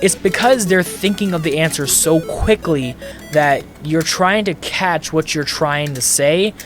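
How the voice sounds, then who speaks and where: A man's voice: fairly high pitch, a little slow, soft background music. A man hosting a podcast who explains things step by step in a loose, chatty way. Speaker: podcast host. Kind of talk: casual podcast chat.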